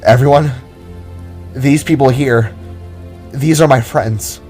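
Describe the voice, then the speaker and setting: A man playing an earnest male spark in an audio drama, speaking in a halting, heartfelt way. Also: medium pitch, darkish tone, faint music behind, pitched above the performer's own voice, close to an everyday voice. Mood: proud, heartfelt. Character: earnest male blue spark, moved by reunion.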